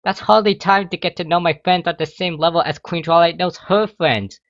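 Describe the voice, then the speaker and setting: Young female unicorn student, played by a male reader: high, anxious, pleading, exasperated, for a fan story reading. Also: fairly even intonation, moderate pace, fairly bright tone, no echo, lo-fi sound. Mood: worried. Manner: pleading, flustered, protesting.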